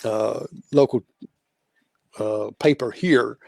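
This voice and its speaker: An older male host asks questions in a halting, easygoing way, full of little restarts. His mid-range voice sounds close and dry, with no room around it. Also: mid-range voice, gravelly, a little mellow, no room sound. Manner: halting, many fillers and restarts. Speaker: talk show host. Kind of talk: casual interview chat.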